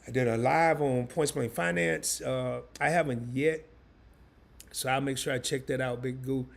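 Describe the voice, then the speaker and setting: Hoarse, gravelly-voiced man. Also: medium pitch, steady tone, moderate pace. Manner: loose and slangy, talks straight to the viewers. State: hoarse, losing his voice. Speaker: live stream host. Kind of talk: casual live stream chat.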